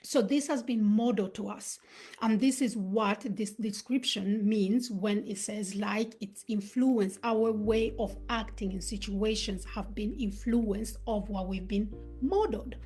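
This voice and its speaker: Husky-voiced woman giving a reflective talk. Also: medium pitch, a little mellow, soft background music. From Uganda, raised in Sweden. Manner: reflective, storytelling with pauses. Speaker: host. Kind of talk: motivational live talk.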